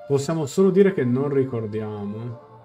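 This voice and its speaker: A young man talks through a game on stream in a soft-edged, mellow voice. He is reflective and explanatory, chatting casually at a medium pitch, with light game music behind him. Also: expressive, moderate pace. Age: young adult. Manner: reflective, explanatory, thinking aloud. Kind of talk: live reaction commentary and translation, casual.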